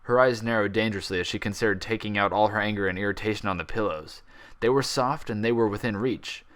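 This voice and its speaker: A man narrates fiction in a somewhat low voice. He reads from a script in the manner of a dramatic audiobook, as a fan reader would. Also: fast talker, fairly bright tone.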